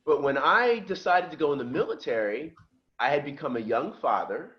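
A man's voice: on the high side, moderate pace, dull audio. A middle-aged man from just outside Philadelphia, a podcast guest telling his life story in casual chat and acting out the voices of the people in it. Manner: lively storyteller who acts out quoted voices.

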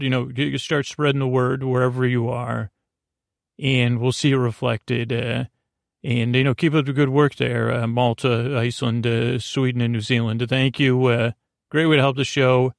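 A man hosting a bedtime podcast in a halting, meandering monologue that often repeats and trails off, with a creaky voice. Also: mid-range voice, no echo, clean studio sound. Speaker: podcast host.

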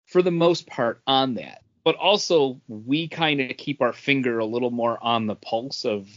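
Guy co-hosting podcast, punchy bright voice, dry sound, bit lo-fi, just chatting. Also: medium pitch, measured pace, dry recording. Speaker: podcast co-host. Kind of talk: casual chat, animated explaining. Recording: dull, dry lo-fi audio.

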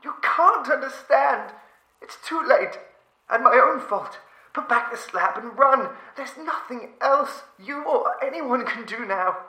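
A man voicing a terrified, doomed explorer pleading from a crypt, shaky and hoarse with fear, in an audiobook horror tale. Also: very high-pitched, lively intonation, takes it slowly, pushed and bright, roomy sound, voice pushed up, exaggerated voice, low-quality recording. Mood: frantic pleading. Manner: urgent, pleading, breaking into shrieks.

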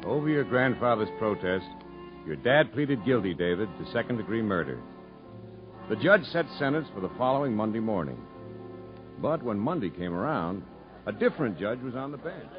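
A grave radio storyteller, low-voiced and expressive. He narrates a fifties drama over clear music in a dull old broadcast recording. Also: moderate pace. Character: grave storyteller recounting a family's past.

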